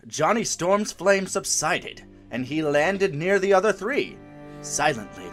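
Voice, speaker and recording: A man acting as the portentous narrator of a comic book audio drama, measured and dramatic. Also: mid-range voice, natural voice. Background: music.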